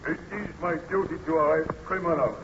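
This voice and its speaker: A stern village burgomaster played by a man in an old radio drama, with a fairly high voice. He speaks in a blunt, officious, accusing way. The recording is muffled and heavy with noise. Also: fairly quick, lightly acted. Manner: blunt, formal, interrogating.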